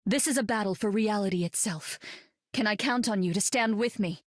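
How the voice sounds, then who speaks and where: Game role: woman as an earnest heroine, mid pitch, dry sound. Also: brisk, no room sound, close to an everyday voice. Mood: gentle. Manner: gentle, earnest. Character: warm psychic heroine woman.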